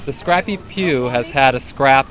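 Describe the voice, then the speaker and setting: A man's voice: medium pitch, moderate pace. A man co-hosting a casual podcast outdoors in a city park, dry and teasing in manner. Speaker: co-host. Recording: faint street noise.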